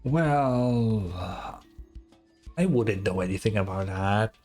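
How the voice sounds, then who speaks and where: A woman's voice: rumbling low, animated, takes it slowly, darkish tone, soft background music. A woman streamer giving casual, offhand and blunt live commentary while reacting to a game.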